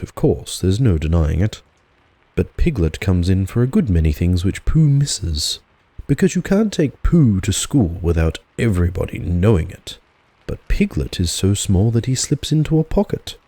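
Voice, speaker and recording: A man reads a storybook in a relaxed audiobook style. His voice is low and mellow with a husky edge. The delivery is unhurried storytelling. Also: no echo. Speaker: audiobook narrator.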